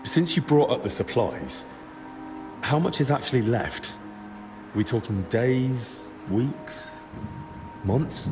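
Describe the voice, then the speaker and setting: An actor playing a plain-spoken, persistent young adventurer in a video game, with a frank, wry and informal manner. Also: male voice, mid-range voice, expressive, slow, long pauses. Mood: probing. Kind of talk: video game cutscene acting. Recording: reverberant, clear music behind.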